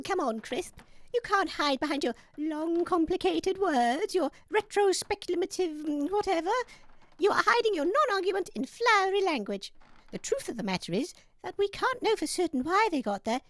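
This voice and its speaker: A woman's voice: extremely high pitch, sing-song, moderate pace, no echo. A woman playing a prim, combative scientist who argues dismissively in a comedy radio debate. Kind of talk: comedy audio sketch acting.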